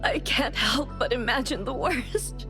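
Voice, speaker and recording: An anguished mother in a video game. Her voice is on the high side, earnest and apologetic as she pleads. Music is clearly heard behind her. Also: animated, a little slow. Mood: distressed. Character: distressed, pleading mother of a missing boy. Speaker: voice actor in a game. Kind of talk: dramatic video game voice acting. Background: background music.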